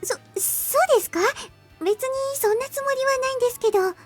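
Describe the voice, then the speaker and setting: A woman's voice: extremely high pitch, very fast, faint music behind. A woman playing an eager, polite, easily flustered teenage girl in a visual novel. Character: eager, pushy teenage girl, younger schoolmate. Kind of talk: Japanese visual novel voice acting.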